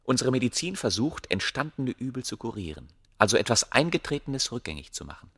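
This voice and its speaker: An actor playing a proud, obsessive ancient scholar, speaking gravely and declaiming in a German radio play. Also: male voice, medium pitch, very animated, a bit bright, no room sound, studio quality. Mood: grave, intense. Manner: grave, declamatory, deliberate phrasing.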